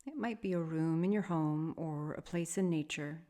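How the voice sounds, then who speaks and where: Low-pitched woman guiding a meditation. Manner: soft, soothing, measured with long pauses. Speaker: yoga nidra meditation teacher. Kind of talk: guided meditation.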